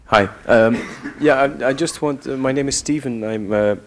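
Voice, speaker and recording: A man's voice: mid-range voice, normal speed, a little hiss or hum. A man from Belgium whose first language is Dutch, asking a question at a conference, hesitant and repeating words. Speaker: audience member.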